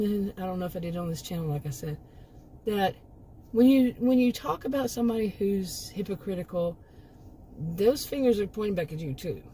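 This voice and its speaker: A middle-aged woman talks straight to her viewers in a rambling, emphatic way, doubling back on her words. Her voice is mellow and warm, with a hoarse edge, since she is losing it. A faint hiss of noise sits under the recording. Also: lowish voice, low-quality recording. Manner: rambling, emphatic, with frequent restarts and asides. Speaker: commentary channel host. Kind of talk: casual opinion monologue.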